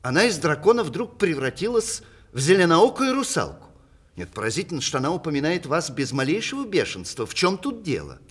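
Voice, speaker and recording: Aging witty playwright, raspy, very lively intonation, ironic, mock-tragic, deliberate, 1960s radio theatre. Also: male voice, a little high. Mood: curious, ironic. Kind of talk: 1960s Russian stage radio play, letters performed aloud.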